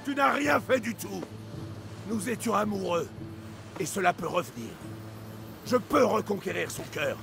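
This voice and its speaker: An aggrieved young warrior with a high, creaky voice. He sounds proud, lovesick and intense in a game scene, with music underneath. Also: expressive, unhurried, long pauses, exaggerated voice. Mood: bitter, desperate. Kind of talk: French video game dub acting. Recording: music behind the voice.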